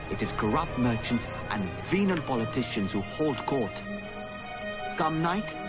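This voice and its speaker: A man acting as a grave leader of assassins delivers solemn, oratorical game-cutscene narration. His mid-pitched voice is set against very loud music. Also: lightly acted. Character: grave male assassin leader warning of a tyrant. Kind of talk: dramatic scripted villain exposition narration.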